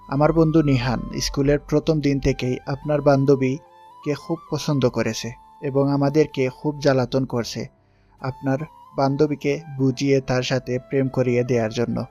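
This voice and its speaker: A man playing a smooth, self-assured schoolboy charmer in an audio love story. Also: medium pitch, a little mellow, lightly acted.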